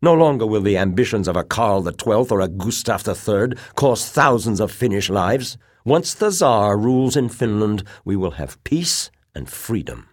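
Man acting a fervent, scornful military aristocrat, emphatically raised pitch. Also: very animated, a little slow, no echo, clean studio sound, exaggerated voice. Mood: heated conviction. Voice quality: ringing, heated delivery. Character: fervent, bitter, scornful Finnish officer, an aristocrat. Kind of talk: dramatized audiobook dialogue.

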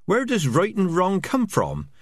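A man's voice: wildly swooping pitch, unhurried, slightly rough, full character voice. A man voicing a squad of small, nervous, bickering male rats in an audiobook reading. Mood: uneasy. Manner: bickering, nervous, high-pitched chatter. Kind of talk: audiobook character voices.

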